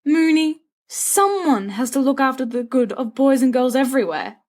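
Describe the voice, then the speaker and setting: A woman's voice: high-pitched, lively intonation, moderate pace, a little mellow, pitched above the performer's own voice. A woman voicing a mischievous, dramatic teenage wizard schoolboy with a teasing, theatrical delivery in an audiobook-style story. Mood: mock-dramatic. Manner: theatrical, teasing, flowery speech.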